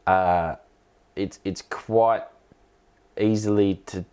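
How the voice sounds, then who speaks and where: A man with an Australian accent and a cold, explaining things casually and haltingly as the host of a hobbyist live stream. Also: low voice, steady tone, warm tone, clean tone. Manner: halting, hesitant delivery with repeated words. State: has a cold.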